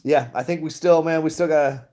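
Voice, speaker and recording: A man's voice: on the high side, steady tone, fairly quick, mellow. A man chatting casually to his viewers, rambling and laughing often. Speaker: stock vlogger. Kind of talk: casual livestream chat.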